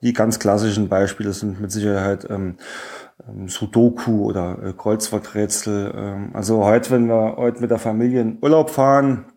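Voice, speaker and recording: A man in his thirties with a Saarland German accent, introducing himself in a hesitant way with many pauses and restarts. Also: lowish voice, level tone, dark tone. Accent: Saarland German dialect. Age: 30s. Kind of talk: personal self-introduction.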